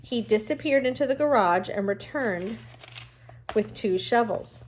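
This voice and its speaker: A woman reading a novel aloud like a teacher, in an expressive storytelling manner with explanatory asides. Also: a little high, unhurried, a little hiss or hum, low-quality recording.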